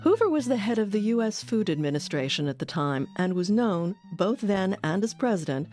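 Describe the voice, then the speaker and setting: A woman's voice: mid-range voice, very animated, brisk, a little mellow. A woman reading scripted documentary narration as the host of an audio series. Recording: music under the voice.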